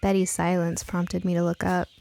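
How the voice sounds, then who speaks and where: A woman's voice: on the low side, completely flat delivery, moderate pace, gravelly, dark tone. A woman reading scripted first-person fiction as an audiobook narrator.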